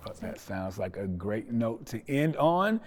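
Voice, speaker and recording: A man hosting a conversational interview, speaking in an appreciative, measured way with some hesitant pauses. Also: fairly low pitch, big pitch swings. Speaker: podcast host, interviewer.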